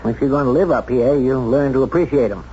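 An American man acting a plain-spoken, self-doubting small-town sheriff in a 1970s radio drama, with a folksy, halting, candid delivery. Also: medium pitch, lightly acted. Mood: brisk, steady. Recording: dull, noisy 1970s radio drama recording.

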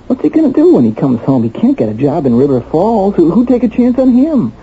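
A man's voice: high-pitched, big pitch swings, a little fast, breathy edge, exaggerated voice. A man acting in a 1970s radio drama as a hurt, defensive young son, with an American accent and hesitant, stammering, emotional delivery. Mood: agitated. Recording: muffled, noisy 1970s radio recording.

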